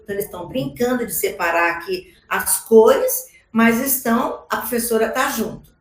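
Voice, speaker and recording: A woman's voice: fairly high pitch, lively intonation, moderate pace, echoey room. A woman with a Brazilian Portuguese accent giving an explanatory conference talk about slides, often adding tag questions. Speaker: education speaker. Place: large conference hall.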